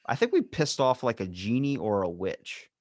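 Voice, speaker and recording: A man's voice: fairly low pitch, wildly swooping pitch, normal speed, darkish tone, no room sound, studio quality. A man hosting a podcast in casual chat, informal and slangy, with some swearing. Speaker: podcast host.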